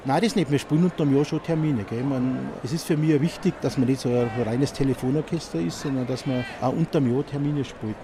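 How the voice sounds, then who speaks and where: A middle-aged man with a Bavarian accent talks casually about his brass band, tossing in tag questions. He stands outdoors at a festival ground. Steady traffic and street noise sit behind his mid-pitched voice. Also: brisk, few pauses. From Munich, Bavaria. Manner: relaxed, chatty, with tag questions. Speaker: bandleader. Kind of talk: casual interview.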